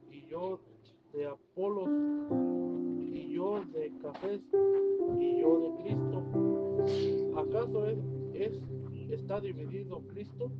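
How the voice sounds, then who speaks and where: A man in the congregation reading a Bible passage aloud, carefully. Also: high-pitched. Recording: dull and distant with loud music.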